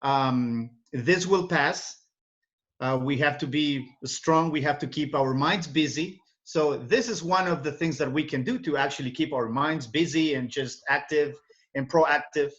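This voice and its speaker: Higher-voiced Ecuadorian man, muffled audio. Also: unhurried, a bit bright. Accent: Ecuadorian Spanish. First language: Spanish. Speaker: interview guest, English teacher. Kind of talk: casual interview. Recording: dull, lo-fi call audio.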